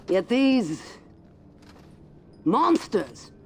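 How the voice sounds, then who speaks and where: An actor playing a fierce, zealous warlord in a video game, commanding and declamatory. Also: male voice, high voice, very animated, a little hiss or hum, full character voice. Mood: fervent resolve. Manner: declamatory, deliberate. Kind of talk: dramatic video game acting.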